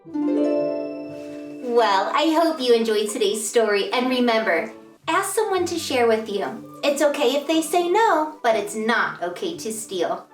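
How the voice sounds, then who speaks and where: A woman reading aloud to children as a story-time host, with an expressive, deliberate storytelling delivery. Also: fairly high pitch. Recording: roomy echo, distant mic, music behind.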